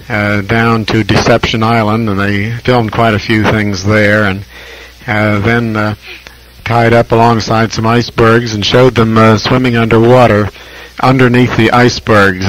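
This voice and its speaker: An American man chatting casually as a ham radio operator, speaking in a halting, deliberate, reflective way with long pauses over shortwave radio. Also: lowish voice, fairly bright tone, poor audio. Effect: shortwave radio transmission.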